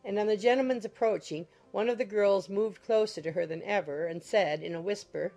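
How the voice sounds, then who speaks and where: A woman's voice: medium pitch, fairly quick, warm tone, soft background music. A woman reading scripted literary narration for a dramatized audiobook.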